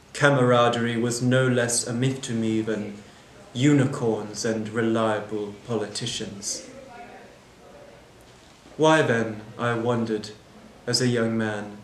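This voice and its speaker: Man acting a slow, bitter manager in monologue. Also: mid-range voice, lightly acted. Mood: wistful, sceptical, then indignant. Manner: solemn, deliberate, pausing between short phrases. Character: bitter, disillusioned airport manager defending replacing people with machines. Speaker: actor performing a monologue. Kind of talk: scripted dramatic monologue. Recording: roomy echo, clear background noise.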